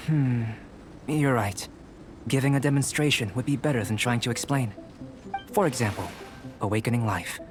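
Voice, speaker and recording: A man playing a calm, detached young scholar and alchemist in a video game, speaking in a measured, formal, analytical way. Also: medium pitch, faint music behind, close to an everyday voice. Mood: intrigued.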